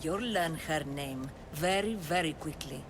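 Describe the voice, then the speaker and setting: A woman's voice: low voice, measured pace, background noise. A woman voicing an officious, eager member of a secret cult in a video game, effusive and theatrical. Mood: confiding. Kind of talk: dramatic video game acting.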